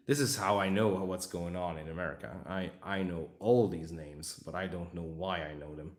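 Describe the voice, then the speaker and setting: A man's voice: sits low, darkish tone, roomy sound. A Hungarian man rambling and joking with his live chat while he assembles a miniature. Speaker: livestream hobby host. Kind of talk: casual livestream chat while building a model.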